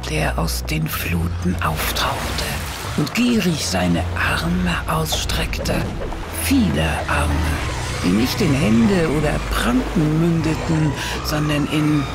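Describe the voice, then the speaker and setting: A woman's voice: deep voice, animated, slow, vocal fry, exaggerated voice. A woman giving dramatic scripted horror narration as the narrator of an audio drama. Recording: loud music behind the voice.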